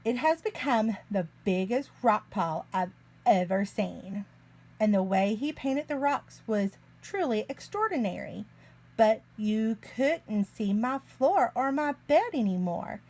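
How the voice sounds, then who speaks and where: A woman reading a picture book aloud to young children in an expressive, deliberate way. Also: fairly high pitch. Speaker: children's story reader, early learning teacher.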